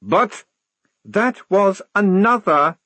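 A male narrator plays a fussy young army lieutenant from a storybook. He is earnest and polite, straining for authority. The voice rides high and lively, recorded close and dry. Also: drawn-out words, no room sound, heavily acted. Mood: flustered, polite. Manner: stiff, fussy, trying to sound firm. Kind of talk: audiobook character acting.

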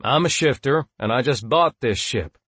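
A combative shapeshifting beast, voiced by a man in an audiobook. It talks back with gruff sarcasm, in a dry, close sound. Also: medium pitch, animated, normal speed, fairly bright tone, dry recording, voice pushed up. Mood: defiant. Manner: sardonic, combative.

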